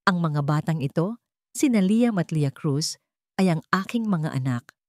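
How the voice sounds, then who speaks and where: A woman with a Filipino accent voicing a determined male chief executive with calm, deliberate weight in an audio storybook drama. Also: lowish voice, no room sound, clean studio sound. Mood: emotional, defiant.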